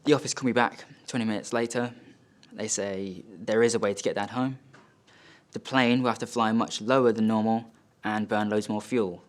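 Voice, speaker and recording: Low-voiced wounded son, acted by a man. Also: fairly even intonation, moderate pace, close to an everyday voice. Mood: tense, subdued. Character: wealthy, wounded, bitter son recounting family conflict. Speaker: actor performing a monologue. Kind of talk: dramatic stage monologue, first-person storytelling. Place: studio.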